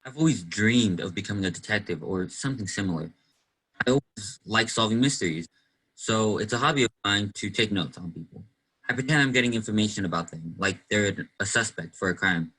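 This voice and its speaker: A man's voice: fairly low pitch, animated, a little fast, bright, forceful tone, breathy edge, full character voice, poor audio. A young man acting in a play as an earnest, inquisitive teenage boy who likes to play detective. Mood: probing, serious. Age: young adult. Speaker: student actor in a school play. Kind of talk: one-act play acting.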